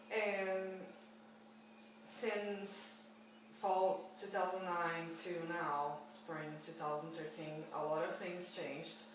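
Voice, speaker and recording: Woman in her 20s, low-pitched for her age, hesitant and full of restarts, heard from a distance. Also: heavy reverb, slight background noise. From Brazil. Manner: hesitant, with frequent restarts and fillers. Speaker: music student. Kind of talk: personal account interview.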